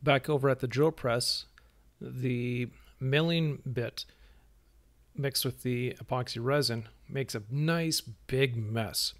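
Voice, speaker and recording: A man of middle pitch narrates his woodworking project as a voice-over. He explains each step in plain, easygoing words and sometimes restarts a phrase. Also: slow. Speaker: woodworking channel host.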